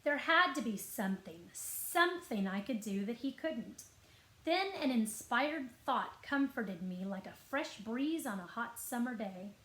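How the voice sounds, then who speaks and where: A woman reading a children's story aloud as a librarian would, expressive and deliberate, drawing out key words. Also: on the high side. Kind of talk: expressive scripted story narration. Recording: roomy, echoing indoor sound.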